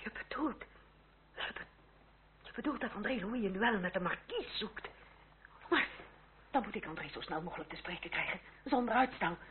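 A woman's voice: mid-range voice, lively intonation, normal speed, long pauses, close to an everyday voice. An actress playing an anxious, motherly French aristocratic lady, middle-aged, in an emotional and genteel radio drama style. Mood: agitated. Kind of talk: period radio drama acting. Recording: tinny, noisy old radio play recording.

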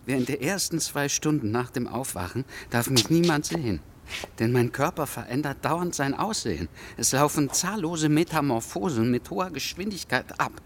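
An actor playing an earnest, easily flustered official investigator in a satirical radio play, officious and indignant in manner. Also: male voice, medium pitch, animated, moderate pace, a little hiss or hum, lightly acted. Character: earnest, flustered male flight authority investigator.

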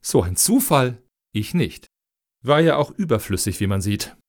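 A man's voice: medium pitch, very animated, normal speed. A man acting in a radio satire as a blunt, cynical grant applicant with a dry, sarcastic manner. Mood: sardonic.

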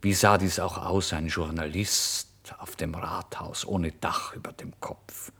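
A man's voice: sits low, steady tone, measured pace, vocal fry, slightly rough, heavily acted. An actor playing a starving, impulsive male writer in a theatrical, changeable first-person literary monologue for radio. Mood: furtive, brazen. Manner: theatrical, swinging from exclamations to hushed whispers. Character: starving, impulsive male writer recounting his misery and brazen lies.

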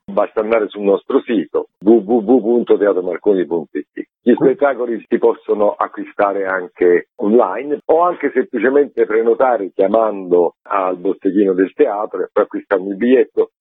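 A man's voice: fairly low pitch, moderate pace, few pauses, breathy edge, no room sound. A man giving spontaneous answers as a theatre director in a radio interview. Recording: narrow, tinny phone-like line, dry.

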